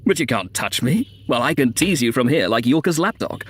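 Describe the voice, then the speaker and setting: A man's voice: medium pitch, big pitch swings, moderate pace, fairly bright tone, a little hiss or hum. A man voicing an insolent, mocking aristocratic dead scoundrel with a taunting, declamatory manner in a story. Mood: taunting, scornful.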